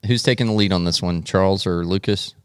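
Low-voiced man, dry close podcast sound. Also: a little fast, smooth, dry recording. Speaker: podcast host. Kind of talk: casual comic banter.

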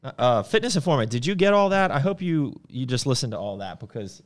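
A man's voice: medium pitch, big pitch swings, brisk. A man co-hosting a casual livestream, reading viewer comments with a playful, laughing manner. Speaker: co-host of a brand livestream.

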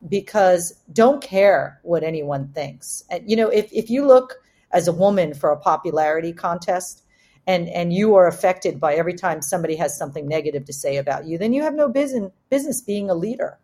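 Emphatic, slightly low-voiced woman speaking candidly. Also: lively intonation, fairly quick, dark tone. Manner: emphatic, repeats phrases for stress. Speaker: startup co-founder, panel speaker. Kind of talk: candid discussion, motivational business talk.

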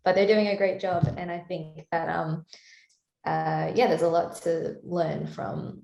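Low, gravelly woman with a Canadian-sounding accent. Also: flat delivery, measured pace, a little mellow, lo-fi sound. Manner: thoughtful, talks freely with frequent light laughs. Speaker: singer-songwriter guest. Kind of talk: casual interview chat. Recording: roomy echo.

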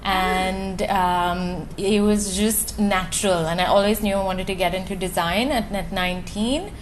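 A young woman from Goa, a podcast guest telling her story softly with small laughs. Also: medium pitch, fairly even intonation, slight background noise, poor audio. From Goa, India. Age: young adult. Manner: soft, giggly, unhurried. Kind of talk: casual interview chat.

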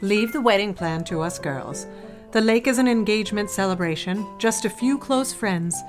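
A woman's voice: mid-range voice, animated, normal speed, music in the background, close to an everyday voice. An actress playing a genteel, controlling middle-aged society mother in an audio drama, fussy and pointed, breezily dismissive. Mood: breezy, scheming. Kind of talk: scripted audio drama acting.

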